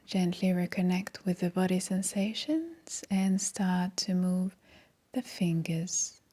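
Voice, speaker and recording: A woman with a soft, breathy voice leading a guided meditation in a calm, gentle, soothing manner. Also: fairly low pitch, takes it slowly. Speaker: meditation guide, yoga teacher.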